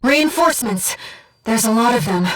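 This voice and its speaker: A woman voicing an earnest, gentle guide who speaks inside a pilot's head in a mecha video game, in measured scripted delivery. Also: fairly high pitch, expressive, recorded from a distance. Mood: urgent alarm. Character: soft-spoken, earnest female voice in a pilot's head, loyal guide. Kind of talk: scripted video game voice acting, tactical callouts.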